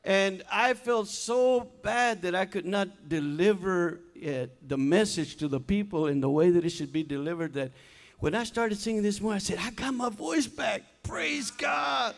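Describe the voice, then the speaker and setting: An older man singing gospel and chatting casually from a church stage with a stand mic, his talk relaxed and full of fillers. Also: high voice, normal speed, vocal fry, hardly any pauses, big-room sound. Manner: relaxed, chatty, hesitant fillers between songs. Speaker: gospel singer and keyboardist. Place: large church sanctuary stage. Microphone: stand mic at keyboard. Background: keyboard music.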